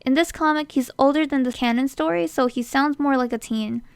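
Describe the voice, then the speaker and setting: A woman's voice: high voice, brisk, vocal fry, no room sound, clean studio sound. A woman hosting a casting call with scripted narration.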